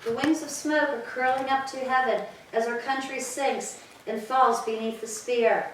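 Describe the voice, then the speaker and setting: A woman performs a bereaved captive in a Greek chorus, declaiming solemnly in a slightly high voice. Strong hall echo surrounds her, and the mic is some way off. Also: steady tone, unhurried. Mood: grieving. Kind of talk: staged reading of Greek tragedy. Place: church, live staged reading. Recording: echoey hall, distant mic, background noise.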